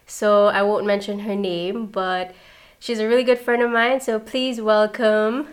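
A woman's voice: mid-range voice, flat delivery, measured pace. A woman hosting a podcast, upbeat and earnest, speaking directly to her listeners. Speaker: podcast host.

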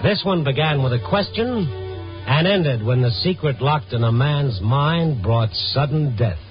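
An American actor playing a wry, world-weary newspaper reporter, narrating in a conversational hardboiled 1950s radio drama style. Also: male voice, medium pitch, a little slow, close to an everyday voice. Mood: brooding. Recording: dull vintage radio recording, music behind.

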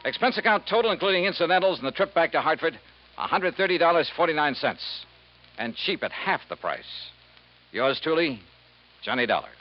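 An actor playing a tough, righteous insurance investigator in a 1950s radio drama, blunt, wry and punchy. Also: male voice, on the high side, very animated, brisk, slight background noise. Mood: brisk, wry. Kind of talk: 1950s radio detective acting. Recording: muffled 1950s radio recording.

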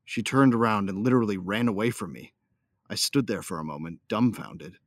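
A man's voice: fairly low pitch, expressive, fairly quick, no echo, clean studio sound. A man playing a proud, devoted young husband and pilot, narrating his own story in a sci-fi audio drama. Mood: tense, angry. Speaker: voice actor. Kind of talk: first-person scripted audio drama narration.

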